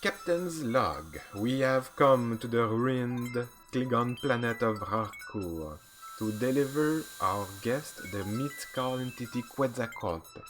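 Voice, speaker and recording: A man's voice: mid-range voice, lively intonation, takes it slowly, music in the background. A man reading as a firm starship captain. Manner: reads aloud plainly, sometimes stumbling.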